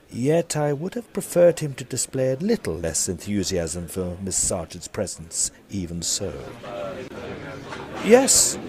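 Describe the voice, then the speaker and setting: An actor with a British accent playing a peevish, smitten Edwardian gentleman ghost hunter, narrating formally in an audio drama. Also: on the low side. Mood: stiffly formal. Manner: formal, stiffly proper, fussy.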